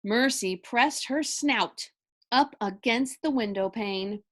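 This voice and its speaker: A woman reading a children's story aloud with expressive narration. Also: on the high side, slow.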